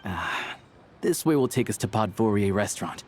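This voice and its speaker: Low-voiced easygoing hunter guide. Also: male voice, animated, moderate pace, slightly rough. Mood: wry, friendly. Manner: casual, helpful, matter-of-fact. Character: easygoing young male small-time hunter acting as town guide. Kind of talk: video game character acting.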